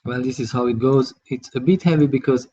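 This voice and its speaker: A man from Hungary, speaking English with a Hungarian accent, talks through a live drawing tutorial, thinking aloud in a halting way as he works. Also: mid-range voice, fairly even intonation, dark tone, dull audio. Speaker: digital artist streaming his work.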